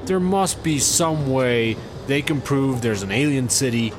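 A man's voice: medium pitch, expressive, a little slow, background noise, natural voice. A man playing an anxious, earnest leader of misfit travelers in a sci-fi comedy audio drama, hesitant and pleading. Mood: worried, pleading.

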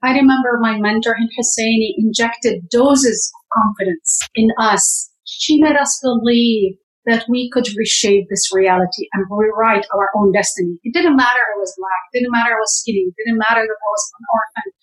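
A woman's voice: fairly high pitch, steady tone, moderate pace, hardly any pauses. A woman from Jerusalem, a guest giving fervent, urging advice in an interview, often repeating herself for emphasis. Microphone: camera. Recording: echoey room.

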